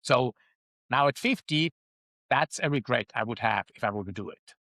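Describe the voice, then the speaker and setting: Swiss-accented male guest in his fifties, reflective and hesitant, mid-pitched, dry close sound. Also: lively intonation, normal speed, bright, forceful tone, no room sound. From Switzerland. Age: 50s. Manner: reflective, with frequent ums and uhs. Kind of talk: casual interview talk.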